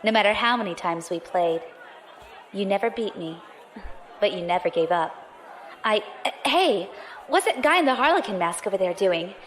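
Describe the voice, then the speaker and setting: An actress playing a brash young space pirate, quick, sarcastic and observant. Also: female voice, medium pitch, expressive, a bit bright, a faint crowd, a little hiss or hum, close to an everyday voice. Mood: cocky. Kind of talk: audio drama acting.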